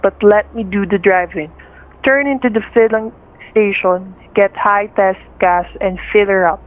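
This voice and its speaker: A woman's voice: mid-range voice, measured pace, close to an everyday voice. Woman playing a curt, menacing gunman, tinny sound. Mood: commanding. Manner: curt, commanding, distrustful. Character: menacing, suspicious male gunman holding a couple hostage. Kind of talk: radio thriller acting. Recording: tinny, narrow-band radio-play recording.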